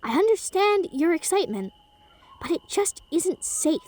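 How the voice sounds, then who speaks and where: A woman's voice: very high voice, big pitch swings, normal speed, darkish tone, pitched above the performer's own voice. A woman voicing a wary young male ghost. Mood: cautious. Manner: wary, dry.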